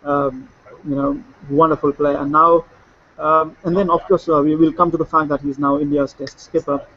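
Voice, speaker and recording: A man's voice: on the high side, little pitch movement, normal speed, dull audio. A man talking through a cricket match in a casual discussion, fluent but with many fillers and restarts. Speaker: cricket analyst. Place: Sydney, remote link.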